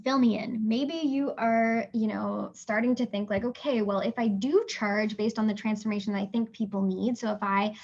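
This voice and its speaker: A woman's voice: on the high side, steady tone, moderate pace, few pauses, a little mellow, muffled recording. Chatty woman coaching a live webinar. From Canada. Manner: chatty and encouraging, many fillers and "right?" checks. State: losing her voice. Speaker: webinar host and business coach.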